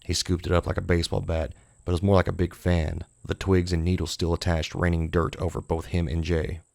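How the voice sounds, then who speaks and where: A man's voice: low voice, little pitch movement, fairly quick, creaky, darkish tone, dry recording, studio quality. A man reading scripted horror fiction as an audiobook narrator.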